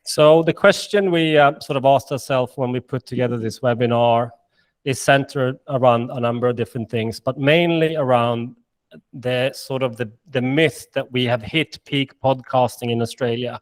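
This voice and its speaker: A man's voice: mid-range voice, fairly even intonation, moderate pace. Swedish-accented man presenting a webinar. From Sweden and Finland. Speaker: managing director presenting.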